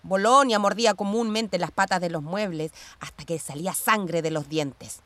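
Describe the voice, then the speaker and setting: An actress playing a stern, evasive former police commander in a radio drama, curt and sardonic, with a Chilean accent. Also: female voice, on the low side, normal speed, fairly bright tone, no echo, natural voice.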